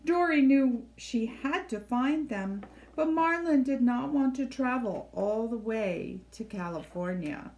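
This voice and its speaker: A woman reading a children's story aloud in an expressive, measured storytelling style, with deliberate phrasing. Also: a little high, poor audio. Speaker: library storybook reader. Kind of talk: expressive scripted read-aloud narration for children.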